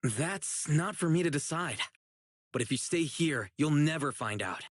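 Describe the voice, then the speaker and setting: A man's voice: a little high, lively intonation, measured pace, no echo. An actor playing an earnest, righteous young male public security officer in a video game, speaking emphatically. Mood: sincere persuasion. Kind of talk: video game character voice acting.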